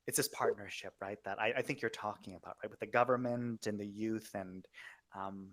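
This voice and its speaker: A man with a medium-pitched voice hosts a casual interview. He speaks haltingly, working out his phrasing aloud as he responds and asks questions. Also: fairly even intonation, a bit bright. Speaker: podcast host.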